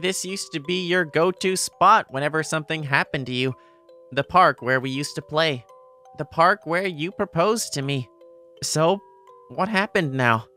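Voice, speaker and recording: A woman's voice: low voice, measured pace, bright, forceful tone, faint music behind. A woman voicing an earnest, easily flustered teenage boy in a manga dub, narrating and speaking expressively. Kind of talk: manga dub dialogue and first-person narration.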